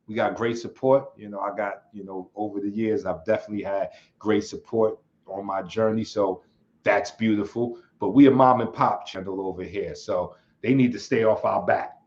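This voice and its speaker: A man hosting his own livestream in a relaxed, rambling way. He chuckles often and reads chat comments aloud. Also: on the low side, moderate pace. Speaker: channel host. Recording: slightly dull lo-fi sound.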